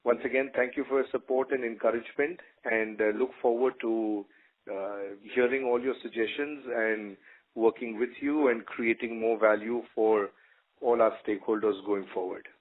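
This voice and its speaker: A man speaks as an executive in a semi-formal, appreciative address. His mid-pitched voice stays very even, with halting phrasing. It comes over a narrow, tinny phone line. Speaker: company executive. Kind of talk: semi-formal thank-you address on a conference call.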